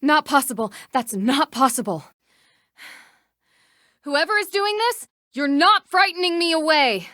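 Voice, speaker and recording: A woman's voice: high voice, big pitch swings, measured pace, long pauses, bright, forceful tone, no echo, clean studio sound, heavily acted. An actress playing a confident, curious young female detective with a friendly, teasing manner in a video game. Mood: uneasy, defiant.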